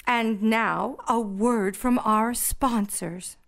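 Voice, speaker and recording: A woman's voice: a little high, extremely slow, vocal fry, a bit bright, full character voice. A woman playing a prim, fussy host of a radio show. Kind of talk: comic radio play acting.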